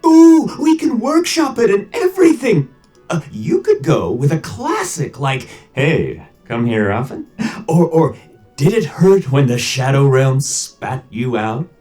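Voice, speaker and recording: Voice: medium pitch, very animated, a little slow, dark tone, faint music behind. An actor voicing a cheeky, mischievous necromancer shopkeeper in a video game, with a theatrical, teasing, breezy manner. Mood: playfully eager. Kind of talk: video game character acting.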